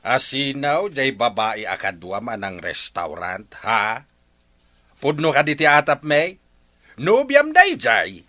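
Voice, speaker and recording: A man's voice: medium pitch, very animated, takes it slowly, pushed and bright, dry recording, exaggerated voice. A man playing a wary, questioning middle-aged village father in a radio drama, with an Ilocano Filipino accent. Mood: stern, shocked. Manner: questioning, emphatic.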